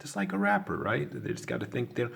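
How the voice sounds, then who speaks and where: A man's voice: medium pitch, fast, creaky, a bit bright. A man from Chicago with an American accent, talking loosely in a casual monologue as an online teacher, often asking "you know what I'm saying?". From Chicago, USA.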